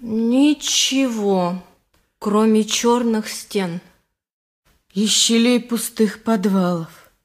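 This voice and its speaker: High-voiced, accusing townswoman. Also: extremely slow. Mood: bleak. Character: accusing survivor in a vengeful city mob. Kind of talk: radio drama acting. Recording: music faintly behind.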